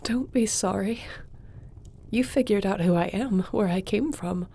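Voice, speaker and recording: A woman's voice: mid-range voice, unhurried, mellow, slightly rough. A woman acting as a loyal, affectionate court sorceress in a fantasy audio roleplay, with a heartfelt delivery. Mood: moved, astonished.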